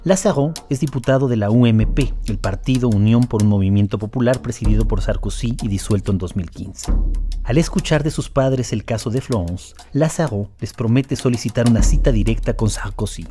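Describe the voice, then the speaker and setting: A man's voice: medium pitch, fairly quick, mellow, slight background noise. A man reading scripted narration for a documentary drama.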